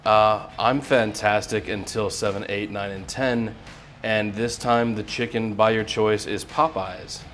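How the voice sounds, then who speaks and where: A man's voice: on the low side, fairly even intonation, unhurried, a bit bright, a little hiss or hum. A man hosting a casual, joking food-challenge interview, speaking as the interviewer. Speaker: host interviewer.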